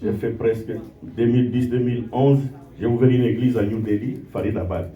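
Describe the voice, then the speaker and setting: A visiting preacher giving a testimony sermon in short phrases, pausing often so each line can be interpreted. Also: male voice, medium pitch, steady tone, phone-quality sound. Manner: short phrases paused for interpreting, rallying the crowd. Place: church hall. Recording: echoey hall, distant mic, crowd.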